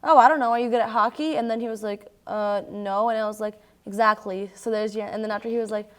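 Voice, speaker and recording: A young girl from Brazil, a native Portuguese speaker, telling stories in casual chat with a halting, filler-heavy youthful manner. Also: medium pitch, fairly even intonation. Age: child or young teen. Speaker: young newcomer sharing experiences.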